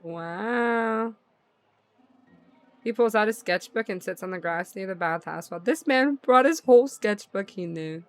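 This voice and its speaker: A woman streams a visual novel, reading its narration aloud. Her medium-pitched voice is playful and slangy in casual reactions, with quiet music behind her. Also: a little slow. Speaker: livestream gamer.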